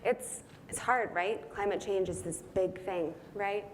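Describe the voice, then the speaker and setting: A woman's voice: mid-range voice, a little slow, a little hiss or hum. Earnest young woman answering questions. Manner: earnest, thinking aloud, frequent "right?" tags. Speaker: speaker answering audience questions. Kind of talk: Q&A answers at a talk. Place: conference room.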